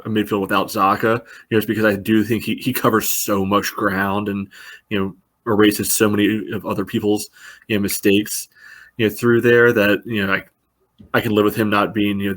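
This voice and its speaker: A man casually analysing sport as a podcast host, in a halting way peppered with filler phrases. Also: lowish voice, a bit bright. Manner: halting, repeatedly peppers speech with "you know".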